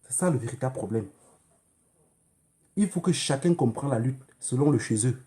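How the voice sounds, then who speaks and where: A man's voice: medium pitch, lively intonation, moderate pace, mellow. A man with a Cameroonian accent addressing viewers in a political livestream monologue, emphatic and admonishing, repeating phrases and throwing in rhetorical questions. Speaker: online activist livestreamer.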